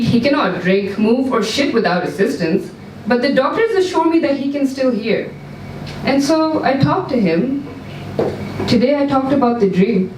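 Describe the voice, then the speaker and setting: Woman reading a story, distant and echoey. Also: medium pitch. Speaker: story reader. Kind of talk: live dramatic short story reading. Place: indoor event room, live reading. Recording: roomy, distant mic, background noise, live venue.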